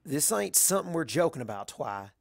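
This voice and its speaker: A man voicing a blunt, protective country farm pony, a mare with a folksy, forceful manner. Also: a little high, sing-song, brisk, creaky, no echo, studio quality, pitched above the performer's own voice. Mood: stern, insistent.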